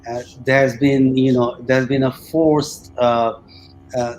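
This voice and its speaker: A man who grew up in India, speaking hesitantly with frequent pauses as a participant in a panel discussion. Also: medium pitch, flat delivery, darkish tone, faint music behind, rough recording. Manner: hesitant, with many "uh" fillers and restarts. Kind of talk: personal reflection in a panel discussion.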